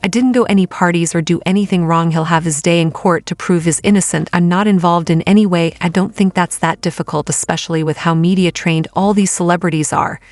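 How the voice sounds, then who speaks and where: Low-pitched woman giving dry, close commentary. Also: fairly even intonation, fairly quick, few pauses, darkish tone, no room sound. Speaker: commentary host. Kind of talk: casual celebrity gossip commentary.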